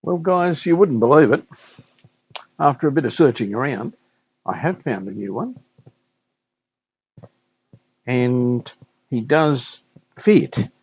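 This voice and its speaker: A man giving a casual, unscripted hands-on repair walkthrough, thinking aloud haltingly and addressing viewers informally as a hobbyist host. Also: medium pitch, animated, slightly rough. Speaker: hobbyist host of a vintage radio restoration series.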